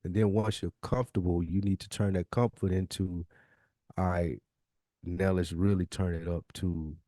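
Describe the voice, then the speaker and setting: A man's voice: low-pitched, steady tone, moderate pace, warm tone, dry recording, clean studio sound. A man co-hosting a podcast, giving conversational advice in informal, emphatic speech with frequent repetition. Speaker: podcast co-host.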